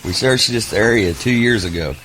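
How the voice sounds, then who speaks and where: An actor playing an alert, doubtful soldier in an audio drama, insistent and questioning. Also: male voice, lowish voice, moderate pace, slight background noise, lightly acted, low-quality recording. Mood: skeptical. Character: doubtful, alert male soldier on a search team. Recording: loud dramatic music underneath.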